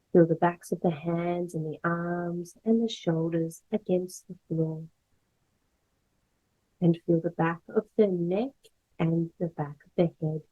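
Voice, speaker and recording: A woman guiding a meditation as a yoga instructor, speaking in gentle, measured phrases with pauses. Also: lowish voice, slightly rough, narrow, tinny audio. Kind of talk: guided meditation, slow soothing instruction.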